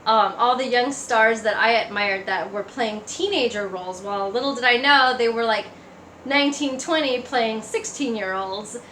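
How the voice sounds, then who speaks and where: Woman, bright forceful voice, casual personal storytelling, conversational, echoey room. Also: fairly high pitch, normal speed. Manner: conversational, acts out remembered remarks. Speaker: speaker giving a personal testimony. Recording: echoey room, faint background noise.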